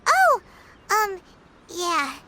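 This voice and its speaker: A woman voicing a tiny, excitable childlike companion with a squeaky voice in a video game. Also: very slow, a little hiss or hum, heavily acted. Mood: uneasy.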